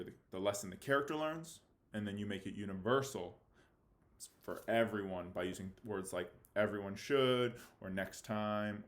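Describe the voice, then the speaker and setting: A man speaking as a fifth-grade teacher giving a read-aloud lesson, halting and theatrically mock-serious in his delivery. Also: on the low side. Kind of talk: read-aloud lesson for children, reading a novel with commentary. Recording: echoey room.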